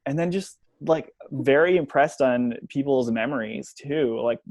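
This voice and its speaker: A man sharing his experience in a class discussion, relaxed and loose with his phrasing. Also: mid-range voice, unhurried, no room sound, studio quality. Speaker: teacher and co-leader.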